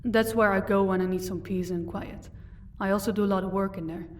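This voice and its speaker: A woman's voice: medium pitch, steady tone, a little fast, distant mic, slight background noise, lightly acted. A woman playing a composed, haughty vampire wife in an audio roleplay. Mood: calm, affectionate. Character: commanding female vampire, protective wife, cold to captives.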